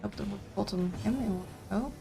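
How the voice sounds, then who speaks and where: A woman, streaming a game and thinking aloud in a casual, halting way. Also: mid-range voice, creaky, music in the background. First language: Dutch. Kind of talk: casual live-stream commentary.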